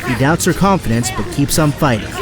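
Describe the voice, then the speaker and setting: Male storyteller, mid pitch, dramatic action narration, very loud orchestral music behind. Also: expressive, brisk.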